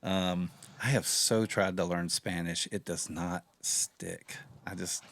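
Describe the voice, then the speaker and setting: A man hosting a casual podcast interview, chatty and relaxed, with lots of fillers. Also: deep voice, takes it slowly. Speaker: podcast host.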